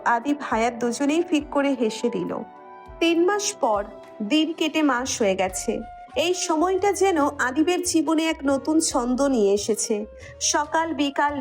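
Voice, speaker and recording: A Bangladeshi woman reads a romantic story as its narrator. Her voice sits high, and soft music plays faintly behind her scripted delivery.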